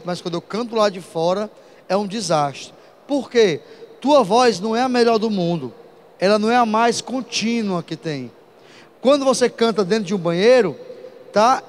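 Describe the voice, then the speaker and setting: A man teaching an exam-prep physics lesson, talking straight to his students with lively asides. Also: high voice, moderate pace, slight background noise.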